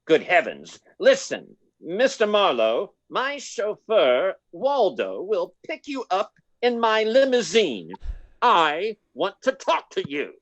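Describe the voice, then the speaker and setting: A fretful, wealthy older man from an old-time detective play , put on by an actor. He speaks slowly and formally, his pitch swinging widely. The sound is close and dry. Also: huge pitch swings, a bit bright, no room sound, highs cut off, exaggerated voice. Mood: anxious, insistent. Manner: formal, fussy, exclamatory. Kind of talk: 1940s radio detective drama.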